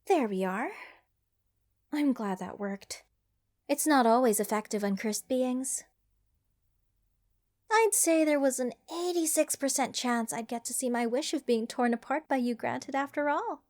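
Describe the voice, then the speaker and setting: Woman playing a slow, doting, unhinged mage. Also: sits high, a little mellow. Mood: soothing, wistful. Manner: sweetly rambling, fond of endearments, wry. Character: eccentric, curse-loving female mage, doting and unhinged. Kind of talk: ASMR fantasy roleplay addressed to the listener.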